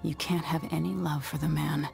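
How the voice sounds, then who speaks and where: A woman's voice: low-pitched, steady tone, moderate pace, creaky, a little mellow, soft background music. An actress playing a determined young royal woman in a video game, terse, controlled and businesslike. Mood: cool resolve. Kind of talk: video game cutscene acting.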